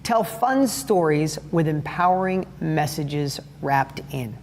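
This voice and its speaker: A middle-aged man with a high-pitched voice, giving a motivational talk and telling stories with enthusiasm and acted-out quotes. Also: lively intonation, slow pace, long reverb tail, slight background noise. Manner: enthusiastic storyteller, acts out quotes. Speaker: speaker on sales and parenting.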